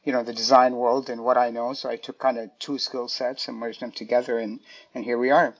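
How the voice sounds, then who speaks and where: A man answering interview questions at an ordinary middle pitch. He talks in a hesitant way, full of fillers and restarts. The sound is a little dull and lo-fi, like audio from a call. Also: flat delivery, fairly quick. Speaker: guest, design director.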